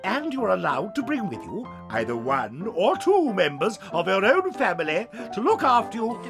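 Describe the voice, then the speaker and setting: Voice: mid-range voice, wildly swooping pitch, moderate pace, music in the background. A performer playing a whimsical, eccentric male chocolate-maker in an audio drama, speaking with theatrical flourishes and sudden swoops. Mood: grand, playful.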